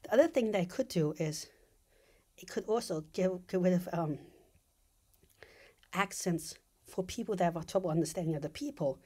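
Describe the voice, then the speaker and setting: A woman's voice: fairly low pitch, normal speed, long pauses, warm tone, breathy edge. A woman giving an informal explanatory monologue, thinking aloud as she explains technical ideas step by step. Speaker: video essayist.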